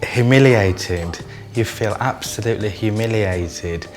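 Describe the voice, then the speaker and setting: A man giving interview testimony, deliberate, repeating himself for emphasis. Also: fairly low pitch, soft background music. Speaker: interviewee, former detained patient.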